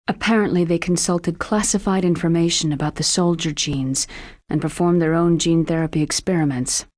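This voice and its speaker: A woman's voice: fairly low pitch, steady tone, moderate pace, a little mellow, no echo, clean studio sound, lightly acted. A woman playing a serious, composed military scientist in a video game, speaking precisely and matter-of-factly. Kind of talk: video game cutscene acting.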